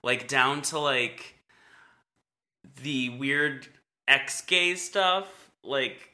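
A man co-hosting a casual podcast recap of a reality TV show, chatty and full of "like," with a naturally high voice and frequent laughs. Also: drawn-out words, bright, forceful tone. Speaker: podcast co-host.

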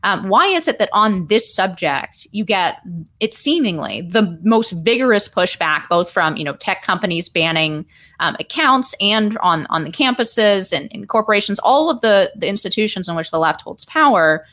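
A woman interviewing a guest, asking long, halting questions full of pauses and "um"s. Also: medium pitch, animated, fairly bright tone. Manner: halting, with many "um"s and restarts, building long questions. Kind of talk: political interview.

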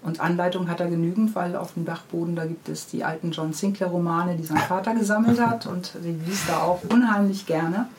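A woman's voice: lowish voice, steady tone, brisk, hardly any pauses. A woman in a casual interview, explaining her children's books in detail as their author. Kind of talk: casual interview chat about own books. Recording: clearly noisy background ambience.

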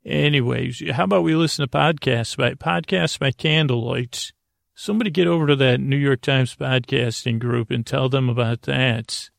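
A man with a gravelly, creaky voice hosting a sleep podcast, rambling in a halting, whimsical way with silly asides and self-interruptions. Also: mid-range voice, a bit bright, dry recording, clean studio sound. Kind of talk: rambling, whimsical bedtime monologue.

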